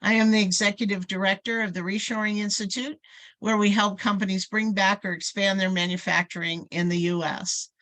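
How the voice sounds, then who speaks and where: A woman's voice: medium pitch, fairly even intonation, a little fast, a bit bright. A woman hosting an interview podcast, introducing and questioning a guest. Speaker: podcast host.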